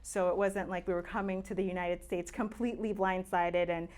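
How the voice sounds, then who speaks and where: A woman's voice: fairly low pitch, level tone, brisk, a little mellow. A woman in her late twenties from Tanzania, a native Swahili speaker, chatting casually as a podcast guest with plenty of fillers and easy laughs. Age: late 20s. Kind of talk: casual interview chat.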